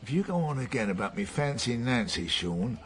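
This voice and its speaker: A middle-aged police detective in a radio play. His pitch sits mid-range and swings freely as he needles, sardonic and brooding, keeping himself guarded. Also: lively intonation, fairly quick, fairly bright tone, slightly rough. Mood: dry, gruff. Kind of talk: radio drama dialogue.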